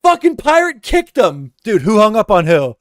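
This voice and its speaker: Ranting man with a smooth, dry voice. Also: sits high, huge pitch swings, moderate pace, faint music behind. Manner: ranting, exasperated, repeats himself. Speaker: reaction streamer. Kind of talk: heated casual commentary.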